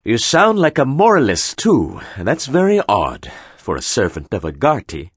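An actor playing a commanding, cryptic magician-tycoon with a husky voice and emphatic, deliberate delivery in audiobook dialogue. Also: male voice, medium pitch, huge pitch swings, no room sound, studio quality. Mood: teasing, assured.

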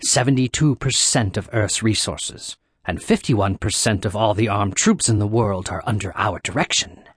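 A man's voice: lowish voice, normal speed, breathy edge, no room sound, studio quality. A man voicing a pompous, ghoulish corporate tycoon, declaiming with gloating relish in an audiobook. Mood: cold gloating. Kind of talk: audiobook character acting.

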